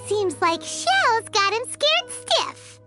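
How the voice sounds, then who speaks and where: A woman voicing a tiny, excitable female fairy companion in a game, with a high, squeaky, childlike voice and a bouncy manner. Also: slow pace, exaggerated voice. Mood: curious. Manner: bouncy, excitable, refers to herself by name. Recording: game soundtrack music underneath.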